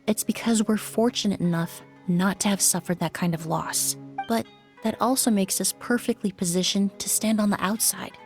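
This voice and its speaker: A woman voicing a composed adult perfumer and crime-scene cleaner in a game, speaking in a measured, thoughtful way. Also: mid-range voice, a little mellow, close to an everyday voice. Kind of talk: game voice acting.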